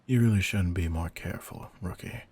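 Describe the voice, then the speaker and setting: A man playing a veteran vampire hunter in a slow-burn audio roleplay, intense and commanding, with deliberate, often breathy delivery and a gravelly voice. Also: low voice, darkish tone, a little hiss or hum, close to an everyday voice. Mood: soothing, intimate.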